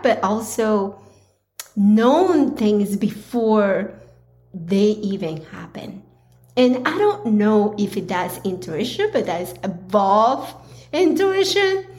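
A woman hosting her own podcast, talking earnestly with a slightly raspy, hoarse voice, pausing and restarting often. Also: medium pitch. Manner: slow, earnest, with pauses and restarts. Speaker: podcast host. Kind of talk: casual solo podcast talk.

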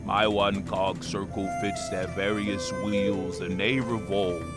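An actor playing a vengeful old one-legged whaling captain, grandiose and oratorical, in a theatrical audio drama. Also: male voice, sits low, flat delivery, slow pace, over loud music. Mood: bitter, anguished. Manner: grandiose, oratorical, brooding to thundering.

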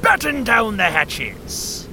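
A man voicing a gruff old pirate captain who bellows hammy sea-dog orders. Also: high-pitched, wildly swooping pitch, slow, bright, forceful tone, slight background noise, pitched above the performer's own voice, heavily acted. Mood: bellowing.

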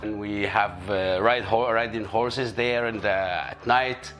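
A man's voice: on the low side, steady tone, slow pace, fairly bright tone, slight background noise. A man from Egypt telling his faith story in a video testimony, hesitant and searching for words. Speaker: church member giving testimony.

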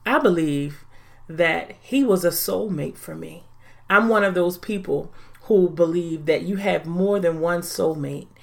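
Woman, forties, podcast host, earnest, lowish voice, unhurried. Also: creaky. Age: 40s. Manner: earnest, deliberate, reflective. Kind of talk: faith-based podcast monologue.

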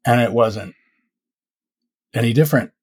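A man's voice: medium pitch, unhurried, a little mellow, husky. A man in his fifties, a podcaster being interviewed, talking reflectively and rambling with many fillers. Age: 50s. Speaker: podcast host and guest. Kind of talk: casual interview conversation.

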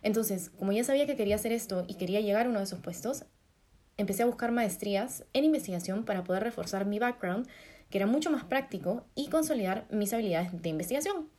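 A young adult woman with a Peruvian Spanish accent, co-hosting a podcast and introducing herself in a clear, steady way. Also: mid-range voice, quick pace. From Peru. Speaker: podcast co-host, fashion designer.

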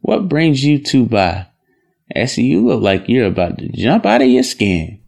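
A man's voice: mid-range voice, sing-song, unhurried, vocal fry, a little mellow, exaggerated voice. A man acting a devoted young farmer husband in an audio drama, speaking in an earnest, folksy, plain-spoken way. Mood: surprised, pleased.

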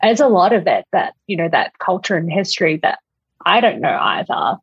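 Husky Australian woman chatting. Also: lowish voice, sing-song, normal speed. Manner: chatty, frequent "like" and "you know". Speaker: podcast guest, quilt designer. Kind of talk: casual interview chat. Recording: echoey room, slightly muffled audio.

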